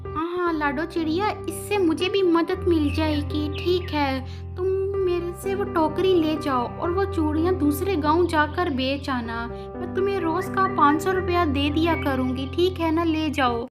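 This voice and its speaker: A woman voicing a kind, hardworking female bird who sells bangles in a cartoon story, speaking gently. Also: very high-pitched, measured pace, music in the background. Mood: friendly. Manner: gentle, pleading.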